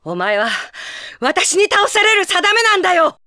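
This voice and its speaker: A woman's voice: very high voice, very animated, a little slow, pushed and bright, no room sound, studio quality, exaggerated voice. An actress playing a proud, fierce young female warrior with hidden tenderness in an anime video game, tough and commanding in manner. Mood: furious, hostile. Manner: tough, commanding, rough-spoken. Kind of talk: Japanese anime game voice acting.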